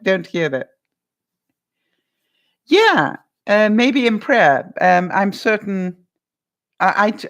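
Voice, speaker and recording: Chatty woman with a voice that carries. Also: medium pitch. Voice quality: loud voice that carries. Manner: chatty, lively, laughs often, talks to viewers by name. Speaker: vlogger answering live chat. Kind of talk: casual livestream chat.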